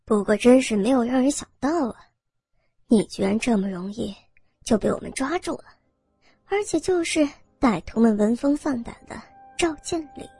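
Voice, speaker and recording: A woman voicing a lecherous, smug male gang boss in an audio story, taunting and menacing, with a husky edge. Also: on the high side, moderate pace, dark tone, no room sound, clean studio sound. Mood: mocking, smug. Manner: taunting, sneering.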